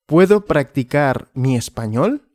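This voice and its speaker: Male language teacher, very slow and deliberate, lively up-and-down intonation, dry close recording. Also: mid-range voice, dry recording. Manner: very slow, deliberate, repeating and asking himself questions. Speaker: Spanish teacher. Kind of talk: slow graded language lesson with questions and answers.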